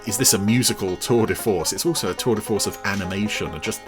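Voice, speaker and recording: A man's voice: on the low side, fast talker, loud music behind the voice. A man giving rambling, enthusiastic podcast commentary over cartoon clips. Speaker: podcast reviewer.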